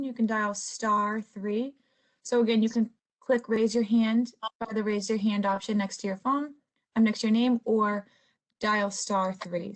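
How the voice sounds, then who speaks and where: A woman moderating an online meeting, giving instructions in a deliberate way. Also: fairly high pitch.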